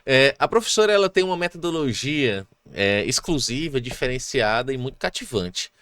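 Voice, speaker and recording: Enthusiastic podcast host. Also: male voice, mid-range voice, sing-song, moderate pace, fairly bright tone, clean tone, no echo, studio quality. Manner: friendly, enthusiastic, promotional. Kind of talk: casual podcast interview. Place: studio.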